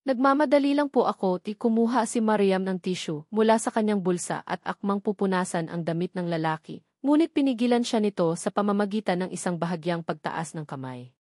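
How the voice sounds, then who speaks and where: A woman's voice: mid-range voice, a little fast, dark tone, no echo, studio quality. A woman with a Filipino accent reading a scripted romantic drama as its storyteller. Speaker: narrator.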